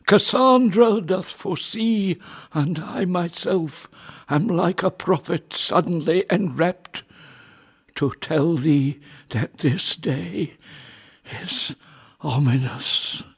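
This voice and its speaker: A man playing an anxious old royal father in a Shakespearean audio drama, pleading gravely and haltingly. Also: high-pitched, exaggerated voice. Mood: pleading, foreboding.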